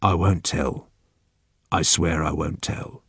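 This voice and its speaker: A man voicing a calm, cunning, commanding old man with slow, deliberate speech in an audiobook story. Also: fairly low pitch, gravelly, no room sound, clean studio sound. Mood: gentle, coaxing.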